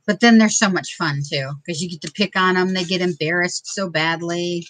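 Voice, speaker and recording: A woman's voice: lowish voice, normal speed, fairly bright tone, a little hiss or hum, poor audio. A middle-aged woman from Detroit, telling funny family stories in a chatty, laughing way during a craft video. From Detroit, USA. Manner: chatty, laughing, self-mocking humor. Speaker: craft vlogger. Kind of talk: casual storytelling while crafting.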